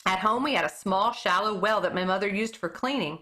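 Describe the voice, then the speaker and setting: A teacher reading a children's book chapter aloud, expressive and correcting her own slips as she goes. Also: medium pitch, a little fast.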